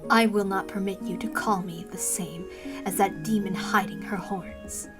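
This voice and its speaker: A woman's voice: medium pitch, steady tone, normal speed, over loud music, close to an everyday voice. A woman voicing a haughty, hostile goddess in a visual novel, speaking in imperious, formal, scornful phrases. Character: haughty, hostile inhuman goddess in female human form.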